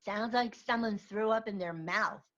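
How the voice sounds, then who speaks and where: A woman's voice: mid-range voice, measured pace, fairly bright tone, lo-fi sound, close to an everyday voice. A woman acting a nosy, cheeky, teasing friend in a comedy script read over a video call. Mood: nosy, mocking. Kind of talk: live comedy screenplay table read.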